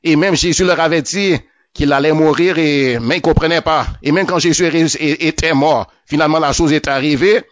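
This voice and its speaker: A man's voice: fairly high pitch, brisk, dry recording. A man giving a spoken religious testimony, often stammering over and repeating his words as he goes. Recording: dull lo-fi, dry.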